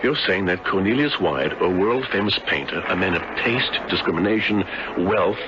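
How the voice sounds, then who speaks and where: An actor playing a nosy, defensive gentleman in a radio drama, stammering, flustered and protesting. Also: sits low, expressive, moderate pace. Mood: incredulous. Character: nosy, defensive married man, old college friend. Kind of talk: 1970s radio drama acting. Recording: muffled, very noisy old radio recording.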